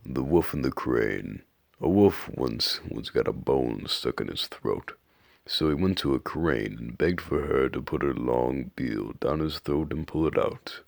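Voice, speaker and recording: A man playing an old man narrator, reading storybook fables slowly and deliberately in a raspy voice. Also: very deep voice, expressive, dry recording, clean studio sound, full character voice. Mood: solemn, unhurried.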